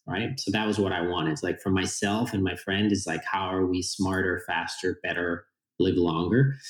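A man with a Finnish accent and a lowish voice talks earnestly about his work, pausing on fillers as he explains. He is in his forties. The room around him has a clear echo. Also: darkish tone. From Finland. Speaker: guest, company founder. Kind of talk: casual interview talk.

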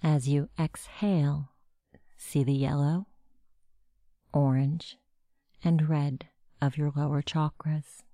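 Slow, low-voiced woman guiding meditation. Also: steady tone, no room sound, clean studio sound. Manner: measured, instructional, gentle delivery. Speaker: meditation guide. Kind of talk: guided meditation narration.

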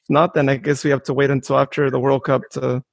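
A man's voice: medium pitch, little pitch movement, quick pace, creaky, dry recording. A man chatting casually about sports as an interview host.

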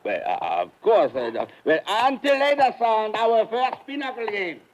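High-voiced jolly fat rich eccentric. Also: male voice, big pitch swings, measured pace. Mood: jovial. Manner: fussy, jovial, effusive. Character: jovial, fat, eccentric rich male patient. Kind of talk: 1950s radio drama acting. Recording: muffled, slightly noisy old radio.

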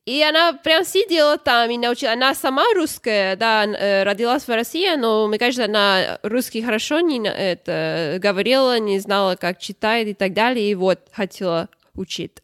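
High-voiced woman. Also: fairly bright tone, no room sound, clean studio sound. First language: not Russian, speaks it as a learned language. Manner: halting, searching for words in a learned language. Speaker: podcast co-host. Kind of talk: casual podcast chat.

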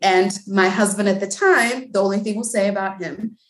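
A woman's voice: medium pitch, moderate pace. Chatty woman in an echoey room. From Mexico. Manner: chatty, lots of "like" and "um" fillers. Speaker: guest, bilingual speech language pathologist. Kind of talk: casual podcast interview.

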